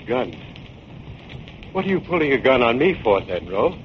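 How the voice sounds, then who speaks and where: A man's voice: medium pitch, very animated, normal speed, close to an everyday voice. A man playing a sly, cringing, murderous crook in a 1940s radio drama. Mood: fawning, nervous. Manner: wheedling, obsequious, then gloating. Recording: muffled, noisy 1940s radio recording.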